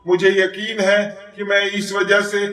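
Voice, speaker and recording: A man voicing a proud, selfish tiger in an animated children's fable. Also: sits high, measured pace. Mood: suffering, despairing. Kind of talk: children's cartoon voice acting. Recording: distant, echoey voice recording.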